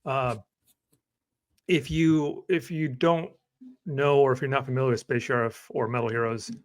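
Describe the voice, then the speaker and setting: A man's voice: mid-range voice, normal speed. Male podcast co-host, easygoing, chatty, lots of "uh" and "like". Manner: relaxed, rambling, filler-heavy. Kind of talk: casual podcast chat.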